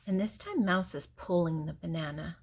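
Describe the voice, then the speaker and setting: An older woman with a husky voice reading a picture book aloud to young children, explaining gently and simply with pauses. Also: fairly low pitch. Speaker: storybook reader and host.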